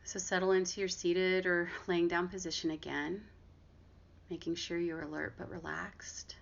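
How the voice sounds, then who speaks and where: A woman guiding a body scan meditation, giving gentle, unhurried instructions with long pauses between phrases. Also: lowish voice, little pitch movement. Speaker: meditation guide and therapist. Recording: dull lo-fi audio with clear background noise.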